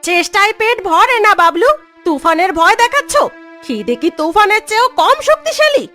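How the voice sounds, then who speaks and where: A woman playing a sharp-tongued, nagging, angry wife of a poor fisherman in a cartoon, scolding and bossy. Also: very high-pitched, big pitch swings, moderate pace, heavily acted. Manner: scolding, commanding. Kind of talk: cartoon dialogue. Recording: music behind the voice.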